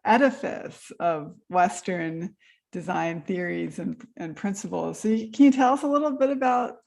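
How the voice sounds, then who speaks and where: A woman moderating a talk, putting student questions to a guest in a friendly, gently hesitant way. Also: on the low side, moderate pace, creaky. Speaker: interviewer, moderator.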